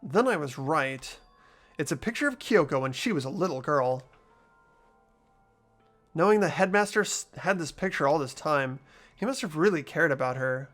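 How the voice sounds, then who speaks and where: A man voicing an earnest, easily startled teenage schoolboy in an amateur game dub, stammering and expressive. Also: a little high, moderate pace, pauses often, faint music behind. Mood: curious, concerned. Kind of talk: amateur voice acting in a visual novel playthrough.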